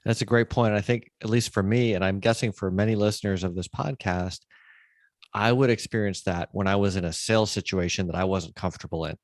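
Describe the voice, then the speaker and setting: A man's voice: lowish voice, steady tone, brisk, no echo, studio quality. A man hosting a conversational podcast interview, explaining his thoughts in a reflective way. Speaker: podcast host.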